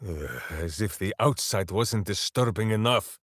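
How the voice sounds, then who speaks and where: An actor voicing a hearty, simple-minded, boastful heroic berserker in a video game, with a bombastic, theatrical way of talking. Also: male voice, lowish voice, animated, a little slow, fairly bright tone, dry recording, studio quality. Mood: hearty, earnest. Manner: bombastic, theatrical, speaks of himself in third person. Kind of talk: video game character voice lines.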